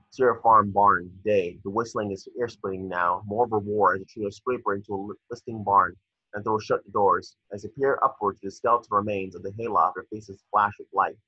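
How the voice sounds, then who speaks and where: Husky low-voiced man narrating a script. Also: pushed and bright, no room sound. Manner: stumbles over words. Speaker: narrator reading stage directions. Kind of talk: scripted narration at a table read. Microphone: video call. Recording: dull lo-fi video call audio.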